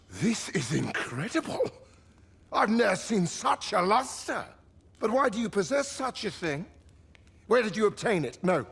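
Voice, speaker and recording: An actor playing a haughty, excitable, obsessive inventor in a video game cutscene, speaking theatrically in archaic phrases. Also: male voice, sits high, gravelly, breathy edge, full character voice. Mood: awed, excited, then dismissive, grudging. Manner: theatrical, archaic diction, swinging from muttering to exclaiming. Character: obsessive, haughty, excitable male scholar-inventor of magical devices.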